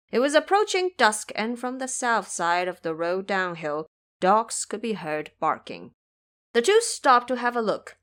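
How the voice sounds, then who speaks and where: A woman's voice: mid-range voice, fairly bright tone. A woman reading scripted narration for an audio drama adventure story.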